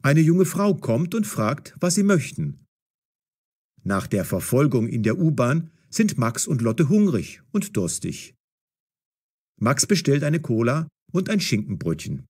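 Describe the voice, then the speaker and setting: A man narrating a scripted story for language learners, articulating clearly and expressively. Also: mid-range voice, sing-song, measured pace, darkish tone.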